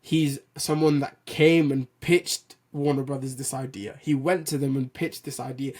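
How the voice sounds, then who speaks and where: A man with a loud voice who hosts a film and TV news show, ranting about movies in an animated, opinionated way. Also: medium pitch, moderate pace. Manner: animated and opinionated, with frequent restarts and slang. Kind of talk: ranting solo commentary.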